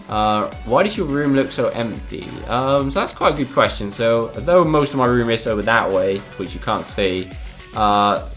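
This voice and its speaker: Young guy from spain doing a youtube q&a, bit low voice, ums a lot, soft music in the back. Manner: relaxed, hesitant, full of ums and "I don't know". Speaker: gaming YouTuber. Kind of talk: casual Q&A, reading and answering viewer questions.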